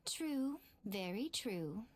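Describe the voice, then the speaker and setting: Sly, lilting, high-voiced priestess. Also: female voice, very animated, extremely slow, full character voice. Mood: mock sympathy. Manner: coy, knowing, theatrical drawl. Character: sly, teasing, mysterious, elegant shrine priestess woman. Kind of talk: anime video game acting.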